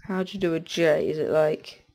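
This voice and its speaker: A man's voice: fairly high pitch, steady tone, moderate pace, vocal fry, a little mellow. A teenage boy with a stuffy cold, rambling through casual gameplay commentary and often breaking off mid-sentence. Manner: rambling, self-interrupting, distracted. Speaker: gaming commentator.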